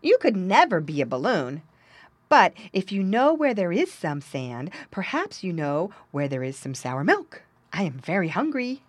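A woman's voice: on the low side, big pitch swings, measured pace, no room sound. A woman voicing a naive, eager, comical little male pig in a storybook, polite and easily astonished. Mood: explaining.

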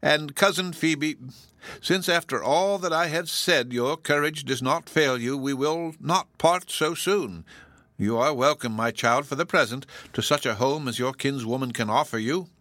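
A gloomy, lonesome old woman with a stiff temper, sighing and mournful as she speaks , put on by a male audiobook reader. Her voice sits clearly above his own register. It is recorded close and very dry, with no room sound. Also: a little high, pushed and bright, dry recording, pitched above the performer's own voice. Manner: mournful, sighing, halting delivery.

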